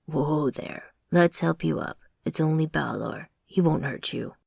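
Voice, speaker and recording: A woman voicing a big, deep-voiced American man with an American accent who jokes and teases. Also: expressive, a little slow, gravelly, darkish tone, slightly rough, no echo, clean studio sound, heavily acted. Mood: reassuring. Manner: joking, teasing.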